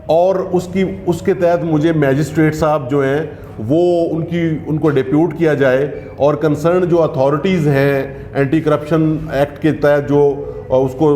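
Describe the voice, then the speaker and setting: A man who practises criminal law, explaining legal procedure in a measured way, often pausing to hesitate. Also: on the high side, mellow, roomy sound, slight background noise. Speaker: lawyer answering legal questions.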